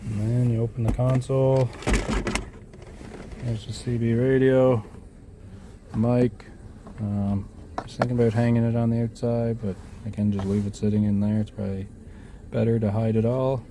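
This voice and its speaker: A man's voice: medium pitch, little pitch movement, normal speed. A man giving a casual, unscripted walkthrough of a hands-on job, half talking to himself as he works. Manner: unscripted, muttering to himself while working. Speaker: vlogger demonstrating a DIY vehicle install. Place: in and around a vehicle. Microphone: handheld camera microphone. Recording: noisy handheld camera, clicks and rattles.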